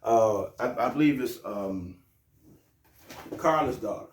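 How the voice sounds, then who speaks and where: A man's voice: on the low side, expressive, slow, echoey room, distant mic. A man hosting his own show in a loose, joking, profane way, with frequent restarts. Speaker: host. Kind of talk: casual show talk.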